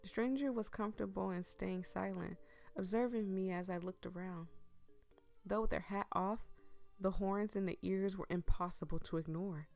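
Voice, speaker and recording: A woman streamer reading a visual novel aloud, with gushing, giggly asides and a voice that is going hoarse. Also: medium pitch, steady tone, moderate pace, a little mellow, soft background music. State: losing her voice. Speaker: gameplay streamer.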